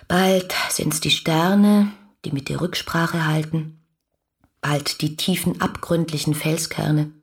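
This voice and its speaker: An actress playing an ardent, lovestruck young woman who speaks her letters lyrically and fervently in a radio drama, with a husky voice. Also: fairly low pitch, heavily acted. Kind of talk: literary radio drama monologue.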